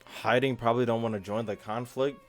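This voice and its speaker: A man's voice: medium pitch, steady tone, fairly quick, faint music behind. A young man chatting casually as a game streamer, informal and slangy, rambling through his commentary. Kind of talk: casual livestream chat and commentary.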